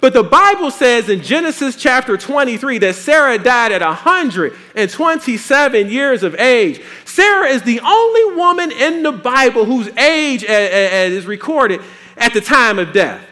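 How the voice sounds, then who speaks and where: A man preaching a sermon in a folksy, animated way with playful asides. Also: extremely high pitch, moderate pace.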